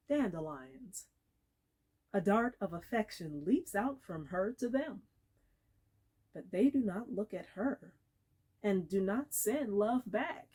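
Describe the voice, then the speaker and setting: A woman reads literary fiction aloud as a dramatic performance. She takes her time, letting phrases land with deliberate pauses. Her delivery is expressive and measured, at a medium pitch. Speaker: actor reading aloud.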